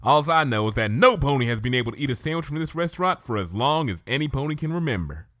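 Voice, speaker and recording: A man's voice: mid-range voice, animated, fast talker, dry recording, studio quality, close to an everyday voice. A man voicing an earnest, spooked young female country pony with a folksy Southern US drawl, in a cartoon fan-fiction reading. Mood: ominous, earnest. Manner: folksy drawl, dramatic hushed warnings.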